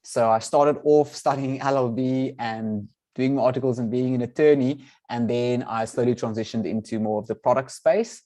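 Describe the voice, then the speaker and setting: A man's voice: mid-range voice, steady tone, moderate pace, hardly any pauses, mellow. A young man whose first language is Afrikaans, hosting an online webinar over a video call in a friendly way, with many "um"s and restarted phrases. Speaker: event host, product manager. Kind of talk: webinar introduction and audience poll.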